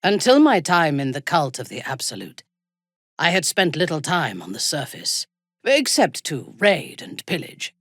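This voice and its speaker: An actress playing a ruthless, haughty dark elf warrior in a video game, cold, commanding and menacing in her measured delivery. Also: low voice, very animated, dry recording, clean studio sound, exaggerated voice. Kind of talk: video game character voice acting.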